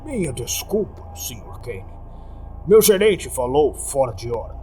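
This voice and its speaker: Man playing a composed, hushed, secretive boss. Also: mid-range voice, sing-song, unhurried. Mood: placating. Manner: hushed, curt, controlled. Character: composed male employer, secretive and placating. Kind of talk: dramatic horror story reading. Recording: faint background noise.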